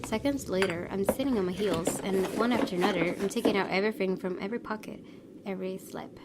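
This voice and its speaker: A woman's voice: medium pitch, fast, very noisy. A woman reading visual novel narration aloud expressively as a gaming video host. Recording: clear music under the voice.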